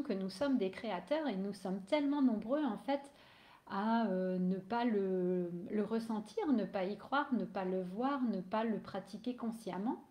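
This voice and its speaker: Woman with a cold doing a live stream, mellow voice, lots of ums, echoey room. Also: mid-range voice. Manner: hesitant, many fillers and restarts. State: has a cold, losing her voice. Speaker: energy healer. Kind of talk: casual live stream monologue.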